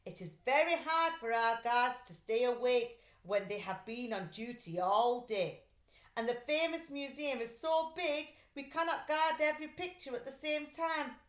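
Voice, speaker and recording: A woman's voice: high voice, lively intonation, a little slow, fairly bright tone, pitched above the performer's own voice. A woman voicing an important, fretful male museum director from a storybook, with emphatic, dramatic delivery. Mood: worried. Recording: echoey room.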